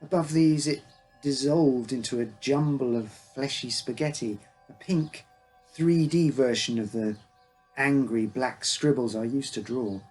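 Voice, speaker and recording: Husky-voiced man reading an uncanny tale. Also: medium pitch, measured pace, a little mellow, faint music behind, low-quality recording. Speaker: author reading his own story. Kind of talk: scripted first-person story narration, uncanny tale.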